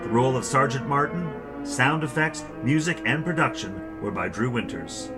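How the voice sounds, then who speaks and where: A man announcing in a formal old-time radio drama style, with deliberate, dramatic phrasing. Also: medium pitch. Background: music.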